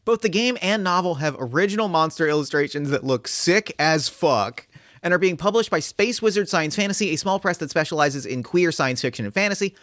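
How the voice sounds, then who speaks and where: Effusive, higher-voiced man hosting a podcast. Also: animated, fairly quick, fairly bright tone, no room sound, clean studio sound. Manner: effusive, casually sweary, emphatic. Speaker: podcast host and game master. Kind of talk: enthusiastic podcast intro and chat.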